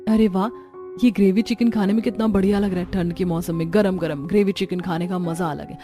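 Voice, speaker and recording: A woman's voice: fairly low pitch, steady tone, brisk, warm tone, soft background music, natural voice. A woman voicing a young, put-upon cartoon daughter-in-law with a plaintive, grumbling manner. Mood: delighted.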